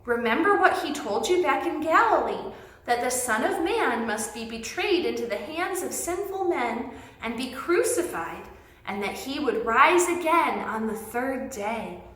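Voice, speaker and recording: A woman with a high, bright voice tells a story to young children. Her delivery is very animated, with simple phrasing and emphatic words. The sound is roomy and echoey, as in a classroom. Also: unhurried. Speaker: children's Bible teacher and storyteller. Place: indoors in an empty classroom.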